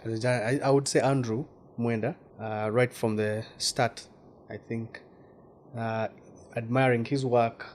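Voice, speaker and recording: A Ugandan man from the west of the country talks as an interview guest at a middle pitch. He is thoughtful and restarts phrases as he searches for words. A faint hiss sits under the voice. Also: fairly even intonation, a little slow. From Western Uganda. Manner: thoughtful, repeats words while searching for them. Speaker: guest journalist. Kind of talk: casual interview talk.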